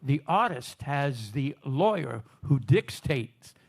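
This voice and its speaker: A man's voice: mid-range voice, very animated, slow pace, vocal fry. A man interviewing veteran singers in a casual chat, talkative and explaining music business history at length. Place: on a cruise ship.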